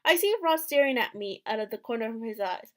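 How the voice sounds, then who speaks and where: A woman's voice: on the high side, lively intonation, a little fast. A woman hosting a gaming video, reading a visual novel's narration aloud expressively.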